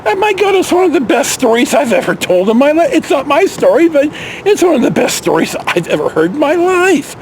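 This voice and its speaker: An older American man with a high voice that swings widely in pitch tells stories casually and folksily, wandering off on asides. He is recorded outdoors, with faint ambient noise and vehicles behind him. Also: very animated, brisk. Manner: folksy, digressive, mild swearing. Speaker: vlog host telling personal stories. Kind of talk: casual rambling storytelling. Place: outdoors in a park.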